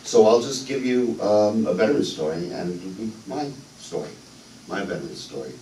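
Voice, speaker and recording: Man, very deep voice, middle-aged, from New York, halting testimony, many ums, echoey sanctuary, noisy room. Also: expressive, mellow, recorded from a distance. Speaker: guest speaker, veteran. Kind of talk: unscripted personal testimony. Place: church sanctuary. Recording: echoey church hall, voice from afar.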